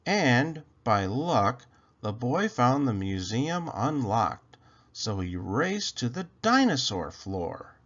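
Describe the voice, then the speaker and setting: A man reading a rhyming children's story aloud in an expressive, theatrical way with drawn-out phrasing. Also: medium pitch, highs cut off.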